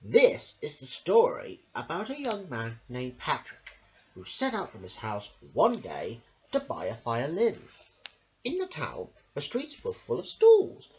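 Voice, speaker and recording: A man reads a children's storybook aloud, his voice leaping up and down in a hugely animated sing-song. He sits at a middling pitch and drops in casual asides, and the sound comes through a dull lo-fi home recording. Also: unhurried, a little hiss or hum. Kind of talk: reading a children's picture book aloud.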